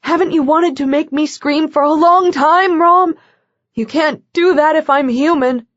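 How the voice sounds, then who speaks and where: A woman's voice: high voice, unhurried, no echo, voice pushed up. A woman voicing a tearful young man who begs frantically in an audiobook. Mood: pleading. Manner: begging, frantic. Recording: dull lo-fi dry audio.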